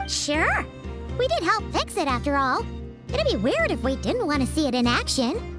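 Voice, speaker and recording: A woman voicing a tiny, excitable, girlish floating companion creature in a video game cutscene, bubbly, chatty and eager. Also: high voice, moderate pace. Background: game music.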